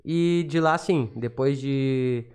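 A man's voice: mid-range voice, slow pace, a little mellow, clean tone. A man in his thirties with a southern Brazilian gaucho accent, talking casually as a podcast guest. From Rio Grande do Sul, southern Brazil. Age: 30s. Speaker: podcast guest, self-taught accordion player and teacher. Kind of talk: casual storytelling in an interview. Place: small studio, indoors. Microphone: podcast microphone on boom arm, talking through cloth mask.

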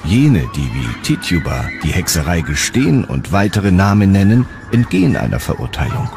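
A man with a very low voice narrates a historical documentary from a dramatic script. Music plays clearly behind him. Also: very animated, normal speed.

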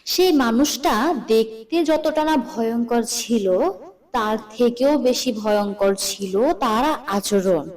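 A woman reading scripted cartoon story narration in a dramatic, deliberate storytelling manner. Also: fairly high pitch, darkish tone.

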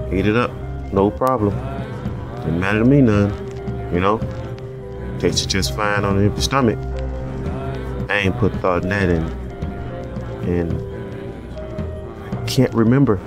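Man playing a low-voiced, folksy revenant. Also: lightly acted. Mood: bewildered. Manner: folksy rural dialect, halting. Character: weathered undead Southern man, humble, confused, lovestruck.

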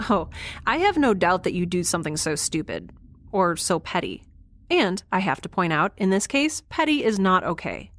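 A woman's voice: fairly low pitch, sing-song, moderate pace. A woman playing a sarcastic, defiant young spaceship dweller in an audio drama, with a flippant, casual delivery.

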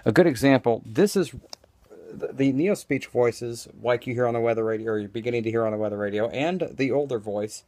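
A man with a mid-pitched voice gives a casual, unscripted explanation. He meanders and halts as he goes, offering his opinions while demonstrating. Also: a little fast, warm tone, no echo. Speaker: vlogger demonstrating screen reader voices. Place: small room indoors. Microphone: handheld phone, later lying on desk.

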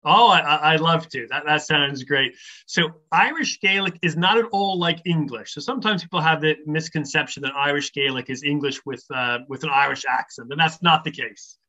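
A man's voice: on the high side, moderate pace, sharp tone. A middle-aged man, a professor, explaining things at length in an interview, thoughtful and scholarly, with many ums and restarts. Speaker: professor of English, podcast guest. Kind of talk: relaxed explanatory interview talk. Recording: slightly muffled lo-fi sound.

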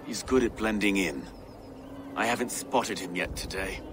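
A man's voice: lowish voice, moderate pace, music in the background, close to an everyday voice. An actor playing an earnest, pious and troubled medieval lawman in a video game, grave and formal. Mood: guarded. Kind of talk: video game cutscene acting.

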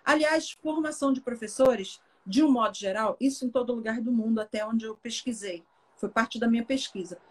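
A woman's voice: fairly high pitch, fairly quick, breathy edge. Brazilian woman teacher on a video call. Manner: thoughtful, explanatory, warm farewells. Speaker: teacher and interview guest. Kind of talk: casual live video chat. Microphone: webcam, video call.

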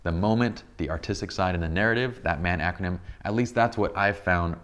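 A man in his thirties with a deep, slightly tired voice, explaining photography basics in a casual studio tutorial. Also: fairly quick, fairly bright tone, clear, resonant tone. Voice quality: deep voice, a bit tired from a long day. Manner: enthusiastic, explains with many examples and restarts. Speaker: photography instructor. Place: bright indoor studio set with a sofa.

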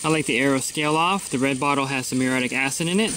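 A man's voice: mid-range voice, fast talker. A pool service technician talking through his weekly routine in a plain, matter-of-fact instructional voice-over.

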